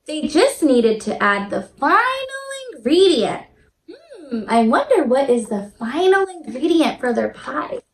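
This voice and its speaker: A woman with a very high, swooping voice leads storytime for little kids. She is a teacher who talks slowly and directly to children with big, sing-song enthusiasm. The room adds noticeable echo. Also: big pitch swings. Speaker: children's teacher and show host. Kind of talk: read-aloud storytime for young children.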